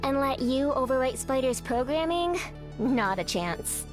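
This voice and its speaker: A woman's voice: high-pitched, expressive, measured pace, music in the background. An actress playing a cheeky, sceptical young female mech pilot in a video game, with a sassy, teasing manner. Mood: defiant.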